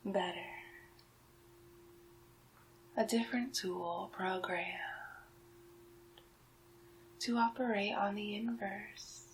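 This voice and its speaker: A woman playing a sly, menacing town witch in a soft-spoken, whispered ASMR roleplay, murmuring deliberately. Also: steady tone, slight background noise, lightly acted, rough recording. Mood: menacing, coaxing. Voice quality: soft, breathy whispering mixed with low-volume speech.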